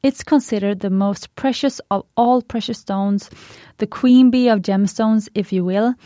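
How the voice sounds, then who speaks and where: A woman's voice: medium pitch, a little slow, warm tone, no room sound. Woman, Swedish native speaker, podcast host, enthusiastic, punny, dry close sound. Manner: chatty, enthusiastic, fond of puns. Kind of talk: scripted podcast monologue.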